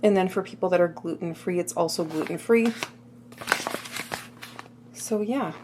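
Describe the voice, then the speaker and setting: A woman filming a casual cooking video in her home kitchen, chatty and full of little asides, her voice a bit hoarse. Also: fairly low pitch, measured pace, darkish tone, a little hiss or hum. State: slightly hoarse. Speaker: cooking vlogger.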